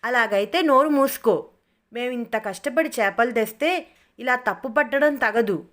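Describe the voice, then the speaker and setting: A woman voicing a stubborn, cunning village wife in an animated story, brazen and insistent. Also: medium pitch, lightly acted. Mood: brazen, scolding. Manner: brazen, insistent, quick to retort. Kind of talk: animated moral story character voice.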